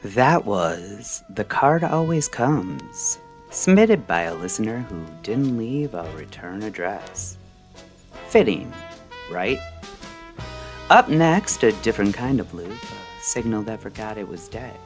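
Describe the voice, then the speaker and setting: A man tells a scripted first-person story in the manner of a late-night radio host. His voice sits fairly low and he takes his time, setting out short phrases with theatrical pauses. Faint music plays behind him. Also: very animated.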